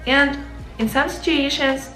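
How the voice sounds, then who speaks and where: A woman's voice: high-pitched, fairly even intonation, measured pace, pushed and bright. A young woman whose first language is Ukrainian, giving earnest advice on learning English straight to her viewers and calling them guys. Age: young adult. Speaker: English teacher and vlogger. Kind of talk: casual advice lesson to camera. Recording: echoey room with faint music.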